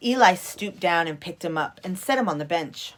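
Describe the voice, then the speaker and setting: A woman's voice: lowish voice, lively intonation, normal speed, a little hiss or hum, poor audio. A woman reading a children's storybook aloud expressively, like a teacher at story time.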